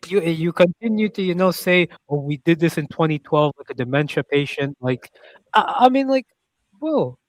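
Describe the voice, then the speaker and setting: Higher pitched guy ranting about football, voice jumping all over, dry mic. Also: moderate pace, dry recording. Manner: animated, mocking, rambling with restarts. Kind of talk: casual football banter.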